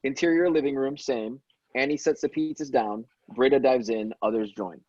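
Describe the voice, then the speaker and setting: Husky man narrating script directions. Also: fairly even intonation, normal speed, darkish tone, dry recording. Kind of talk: reading screenplay directions aloud. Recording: dull, dry, low-fidelity audio.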